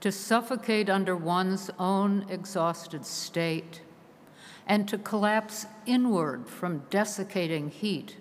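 A woman delivers a sermon at a very slow, deliberate pace, letting her phrases fall away at the ends. Her voice sits mid-range. It rings out through a large stone church with a long, lingering reverb. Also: mid-range voice. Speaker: preacher.

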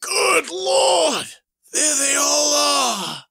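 A man's voice: very high-pitched, very animated, drawn-out words, creaky, sharp tone, no echo, clean studio sound, voice pushed up, heavily acted. A man voicing a panicky, whiny crook in a comic book dramatic reading, exclaiming shrilly. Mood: horrified. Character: panicky, whiny male crook, terrified and excitable.

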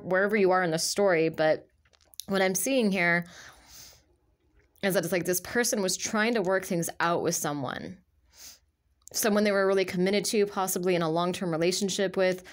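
Stuffy-nosed woman rambling through a tarot reading. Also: mid-range voice. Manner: rambling, hesitant, many fillers and restarts. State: stuffy nose from allergies. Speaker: tarot reader. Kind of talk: casual tarot reading monologue. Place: indoors at a table of tarot cards.

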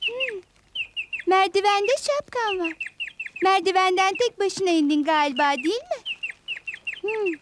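A woman voicing a tender, excitable little mountain girl in a dubbed cartoon, coaxing and exclaiming as she speaks. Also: very high-pitched, noisy background. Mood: tender, apologetic. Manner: slow, exclamatory, coaxing delivery. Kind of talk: Turkish cartoon dubbing.

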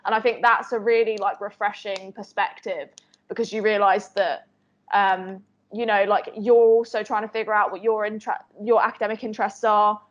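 A young woman speaks on a video call from a small bedroom. She talks earnestly about her studies in a mid-pitched voice, leaning on "like" as she goes. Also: little pitch movement, moderate pace, highs cut off. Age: young adult. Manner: earnest, frequent "like" fillers. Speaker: history student panelist. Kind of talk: casual chat on video call. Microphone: laptop webcam on video call.

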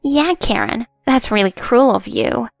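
A spiteful, spoiled young woman of a story, put on by a woman narrator. She is whiny and smug, pitched well up, with a dry sound over music. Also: lively intonation, fast talker, creaky, no echo. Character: spiteful, spoiled young woman, entitled bratty store employee.

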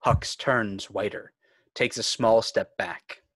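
A man's voice: on the low side, fairly even intonation, slow, fairly bright tone, breathy edge, no room sound. A man narrating screenplay scene headings and action in a scripted read. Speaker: narrator reading stage directions. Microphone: video call.

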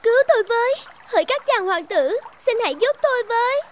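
A woman's voice: very high voice, lively intonation, a little fast, slight background noise. A woman voicing a small, plaintive, polite talking fish in a fairy-tale cartoon. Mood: desperate, pleading. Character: pleading, grateful little talking fish in trouble.

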